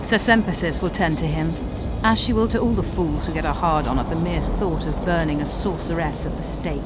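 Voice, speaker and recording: A woman's voice: sits low, fairly quick, very noisy, close to an everyday voice. A woman playing a bitter, menacing sorceress in a video game, with an imperious, disdainful, theatrical delivery. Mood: cold, scornful. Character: haughty scheming sorceress, bitter, menacing and contemptuous. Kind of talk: dramatic video game acting. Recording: loud music under the voice.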